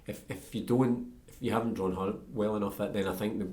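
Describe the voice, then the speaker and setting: A man's voice: on the low side, fairly even intonation, brisk, a little mellow. A man hosting a casual conversational interview, thoughtfully circling his way toward each point. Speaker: interviewer, podcast host.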